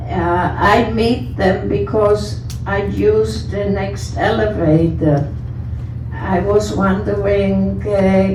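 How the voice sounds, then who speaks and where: A woman resident asking a question at a town hall, hesitant with many pauses and fillers. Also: mid-range voice, background noise. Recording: very echoey room, distant mic.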